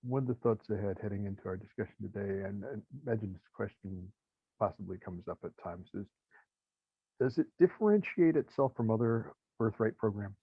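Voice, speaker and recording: A man hosting an interview, asking questions in a measured, reflective way. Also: fairly low pitch, expressive, husky, no room sound, phone-quality sound. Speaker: podcast host.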